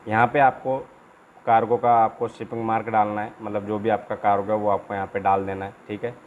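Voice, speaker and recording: A man's voice: fairly low pitch, little pitch movement, a little fast, phone-quality sound. Man explaining step by step, noisy background. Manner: repetitive explaining with frequent check-in tags. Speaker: trainer and channel host. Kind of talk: informal instructional video, explaining documents step by step. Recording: clear background noise, room ambience.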